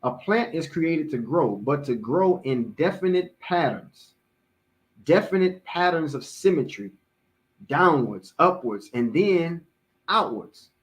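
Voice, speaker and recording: A middle-aged man giving a religious public address as an imam, speaking deliberately and repeating key phrases for emphasis. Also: fairly high pitch, expressive, lo-fi sound.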